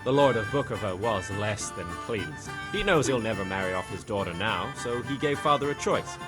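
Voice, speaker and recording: An actor plays a lusty young medieval gentleman with a taste for drink. His talk is florid and wry, in video game cutscene style. Loud music runs behind his voice. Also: fairly low pitch, expressive, moderate pace, natural voice. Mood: rueful, aggrieved. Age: young adult.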